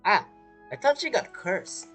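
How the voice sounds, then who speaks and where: A woman's voice: mid-range voice, very animated, unhurried, a bit bright, faint music behind. A woman giving casual reaction commentary as she plays through a video game story. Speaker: gameplay streamer.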